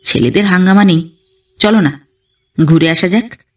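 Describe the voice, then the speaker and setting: A woman reading a literary first-person story as an audio narrator, with an expressive, dramatic storytelling delivery. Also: on the low side, moderate pace, far from the mic.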